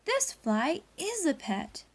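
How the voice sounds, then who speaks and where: A woman's voice: very high-pitched, very animated, takes it slowly, pitched above the performer's own voice, full character voice. A woman voicing haughty pet show judges from a storybook, with exaggerated exclaiming. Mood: amazed.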